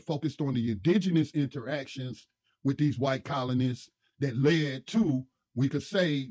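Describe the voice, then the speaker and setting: A man hosting a livestream, giving casual commentary in a halting way as he searches for his words. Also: medium pitch, a little mellow, dry recording. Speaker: livestream host.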